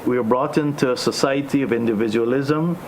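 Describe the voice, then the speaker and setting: A Guyanese man leading a discussion, speaking in a measured way with many pauses and ums. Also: mid-range voice, a little mellow, slight background noise, poor audio. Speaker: host.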